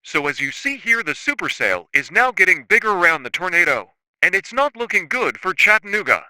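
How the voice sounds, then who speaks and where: TV weatherman: a man as an urgent forecaster, high, tense and crisp, no room echo. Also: sing-song, a little fast, sharp tone, heavily acted. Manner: urgent, emphatic broadcasting. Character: alarmed male TV weatherman.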